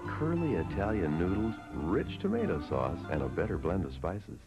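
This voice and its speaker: A low-voiced man reads scripted advertising copy with lively swings of pitch. There is gravel in his voice, and loud music plays behind him. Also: moderate pace. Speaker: commercial announcer. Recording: music-backed broadcast advertisement.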